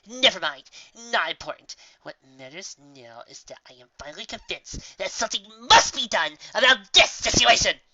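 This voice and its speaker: Melodramatic female unicorn, male reader, grand and aggrieved, high forceful voice, dull storybook recording. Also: very animated, normal speed, hardly any pauses, exaggerated voice. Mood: indignant. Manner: pompous, theatrical. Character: melodramatic, pompous, indignant female unicorn magician. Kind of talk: fan fiction storybook reading.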